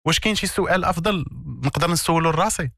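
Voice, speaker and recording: A man's voice: fairly high pitch, very fast, fairly bright tone, no room sound, clean studio sound. A man with a Moroccan accent explaining self-development ideas as an interview guest, in an emphatic, didactic way with repeated key words and rhetorical questions. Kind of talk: conversational explanatory coaching in an interview.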